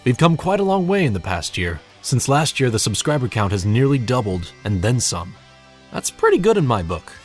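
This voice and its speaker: A man's voice: medium pitch, very animated, moderate pace, soft background music. A young man giving a casual but scripted channel update in a wry, self-deprecating, sweary way. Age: young adult. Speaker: hobby narrator and audio play director giving a channel update.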